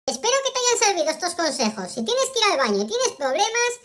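A performer voicing a perky young cartoon tomcat who playfully teaches kids in Spanish from Spain, with a squeaky, childlike voice. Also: big pitch swings, quick pace, a bit bright, exaggerated voice. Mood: cheerful, instructive. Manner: playful, instructive, addressing kids. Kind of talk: children's game video narration.

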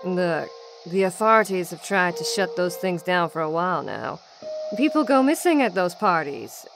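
A woman's voice: on the low side, lively intonation, normal speed, vocal fry, music in the background, poor audio. A woman playing a friendly, concerned college residence staffer in a radio drama. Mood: worried.

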